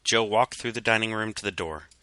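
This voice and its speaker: Deep-voiced man narrating with a sharp, bright edge. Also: a little fast, smooth, no room sound. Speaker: fan fiction reader. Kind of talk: dramatic scripted story narration.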